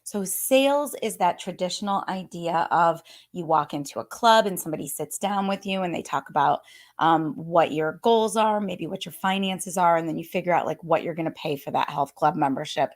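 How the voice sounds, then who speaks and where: A woman with a hoarse voice, an upbeat and chatty fitness business coach talking to viewers on a live stream and reading out their comments. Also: on the low side, normal speed.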